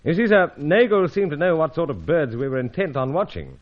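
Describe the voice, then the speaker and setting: A man acting a steady, gentlemanly British secret agent with clipped, composed speech in an old-time radio adventure serial. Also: a little high, fairly quick, dull audio. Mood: respectful, businesslike.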